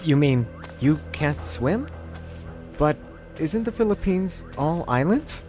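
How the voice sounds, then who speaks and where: An actor playing an eager, apologetic young private in an audio drama, speaking earnestly. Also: male voice, on the high side, lively intonation, slow, mellow. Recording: music behind the voice.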